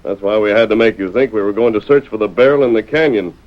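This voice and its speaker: An actor playing a righteous masked lawman in an old radio adventure, crisp and authoritative with an American accent. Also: lowish voice, fast, lightly acted. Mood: explaining firmly. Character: masked frontier lawman hero, commanding, calm and righteous. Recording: muffled old radio broadcast.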